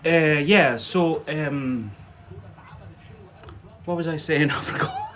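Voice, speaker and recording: A man's voice: fairly high pitch, slow pace, a bit bright, a little hiss or hum. A young man, not a native English speaker, teaching English on a live stream, chatting casually with viewers, often laughing and tagging on "yeah?".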